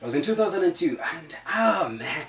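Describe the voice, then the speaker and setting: A man's voice: on the high side, sing-song, brisk, a bit bright, far from the mic. Young Zambian man, echoey and off-mic. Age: 20s. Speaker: interview guest, leadership coach. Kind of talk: casual personal storytelling. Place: studio.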